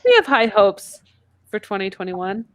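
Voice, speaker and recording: A woman's voice: mid-range voice, lively intonation, normal speed, dry recording, highs cut off. A woman co-hosting a casual podcast, bantering bluntly and irreverently. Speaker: podcast co-host.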